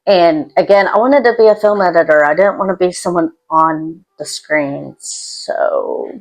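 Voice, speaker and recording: A woman's voice: fairly low pitch, unhurried, poor audio. A woman talking casually to camera as a YouTube host, in an introverted, self-deprecating and rambling way. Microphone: external microphone.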